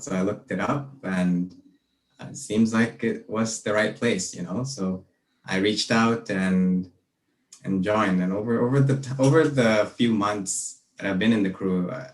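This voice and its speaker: Earnest young man, deep, roomy and distant. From Malaysia and Brunei. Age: young adult. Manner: earnest, reflective, steady. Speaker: podcast guest. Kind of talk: casual interview.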